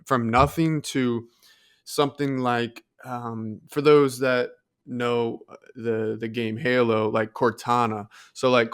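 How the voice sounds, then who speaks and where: Male podcast co-host, mid-pitched and resonant, steady level melody, casual conversational delivery. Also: smooth. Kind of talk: casual tech discussion.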